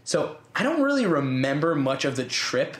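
A man's voice: a little high, sing-song, moderate pace, roomy sound. An American man telling a long story in casual chat, laughing often and restarting his sentences. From United States. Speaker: podcast co-host telling a story.

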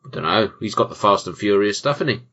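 A British man with a bright, forceful voice hosts a casual film podcast. He banters in a dry, jokey way and swears freely. The audio is slightly dull and lo-fi. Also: on the low side, lively intonation, quick pace. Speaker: podcast host.

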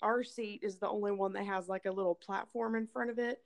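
A hoarse woman, casually telling a rambling, profane story as podcast host. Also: medium pitch, fairly even intonation, brisk. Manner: rambling, swearing, laughing storyteller. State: hoarse, losing her voice from illness.

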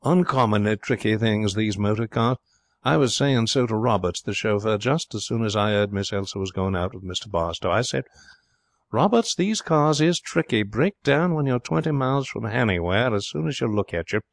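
A man voicing a stately, deferential old butler who is indulgent and quietly scheming in a storybook reading. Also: lowish voice, animated, normal speed, creaky, no echo, pitched above the performer's own voice. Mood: smooth, scheming. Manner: courtly, indulgent, formal and deferential.

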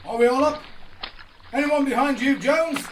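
Radio play: male actor as brisk, commanding sergeant, raised high voice, off-mic. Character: brisk army sergeant rallying his men. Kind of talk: radio drama acting. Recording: distant microphone, faint noise, clicks.

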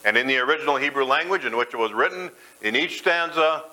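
A man's voice: medium pitch, animated, fast talker, bright, forceful tone, rough recording. A man preaching a sermon in a church, folksy and self-deprecating as he mixes jokes with scripture. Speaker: pastor.

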